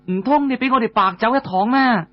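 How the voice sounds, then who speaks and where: A man voicing a bold, defiant young warrior woman in an audiobook, brisk and commanding. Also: very high-pitched, highs cut off, pitched above the performer's own voice.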